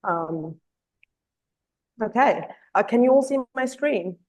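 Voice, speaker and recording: A woman giving an earnest online presentation as a communications officer, slightly hoarse from a cold, with frequent ums and uhs. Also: medium pitch, animated, slow pace. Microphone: video call.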